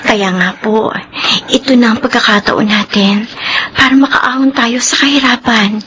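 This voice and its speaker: A woman's voice: fairly high pitch, fairly even intonation, normal speed, a bit bright, slight background noise, natural voice. A woman playing a devoted, troubled young mother-to-be in a radio drama, earnest and emotional, with a Filipino accent. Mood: torn, tearful.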